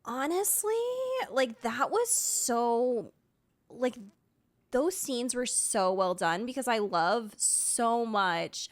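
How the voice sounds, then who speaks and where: A young woman chatting casually as a streamer reacting to a game, in a gushing, emotive way. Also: mid-range voice.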